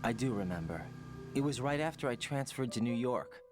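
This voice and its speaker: A man voicing an earnest young soldier, mid-pitched, music behind. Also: expressive, a little fast, close to an everyday voice. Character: earnest young male operative on a mission. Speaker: soldier operative.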